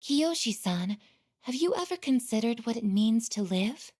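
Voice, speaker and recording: A woman voicing a gentle, earnest young female android in a video game, with measured, formal, softly hesitant phrasing. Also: fairly high pitch, big pitch swings. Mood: earnest questioning. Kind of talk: English video game voice acting.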